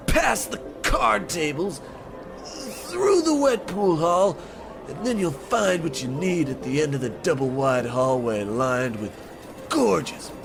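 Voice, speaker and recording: An actor playing a flattering, chatty ex-convict casino attendant in his late sixties, with theatrical mock courtesy, in an old-time radio comedy. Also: a little high, vocal fry, full character voice. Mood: grandly showy.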